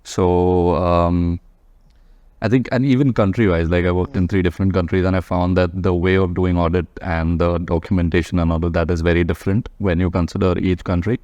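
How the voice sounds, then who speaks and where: Deep, monotone Indian man. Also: a little fast, no echo, lo-fi sound. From Mumbai, India. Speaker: guest, practicing chartered accountant. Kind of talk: casual panel interview.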